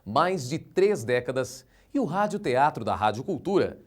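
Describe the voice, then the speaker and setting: A man's voice: medium pitch, very animated, moderate pace. A man with a Brazilian accent reading scripted documentary narration.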